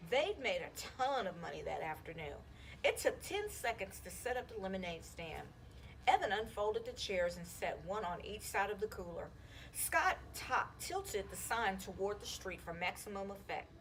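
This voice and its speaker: A woman reading a children's chapter book aloud in an expressive, storytelling style. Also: mid-range voice, moderate pace, slight background noise, poor audio. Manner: animated, acts out dialogue. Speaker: school counselor reading aloud to students.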